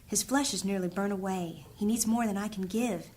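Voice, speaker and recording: A woman playing a gentle young healer princess. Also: mid-range voice, normal speed, a little hiss or hum, natural voice. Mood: worried. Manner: soft, urgent, often hushed.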